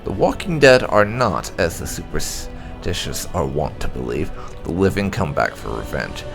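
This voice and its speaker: A man reading game lore aloud as scripted narration, in a measured, dramatic storytelling way. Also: sits low, very animated, gravelly. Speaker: gaming video host. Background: background music.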